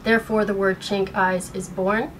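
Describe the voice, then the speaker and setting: An American woman in her thirties speaking in an earnest, halting way, upset and shaken, as in a personal vlog. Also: medium pitch, flat delivery, low-quality recording. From United States. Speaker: vlogger. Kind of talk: personal video blog, partly reading aloud. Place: small bedroom at home. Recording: noticeable background room noise.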